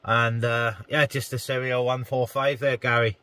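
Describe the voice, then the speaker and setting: A man's voice: medium pitch, flat delivery, normal speed, sharp tone. A man operating a CB radio, chatting casually to other operators and to his viewers. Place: inside a parked car on a hilltop.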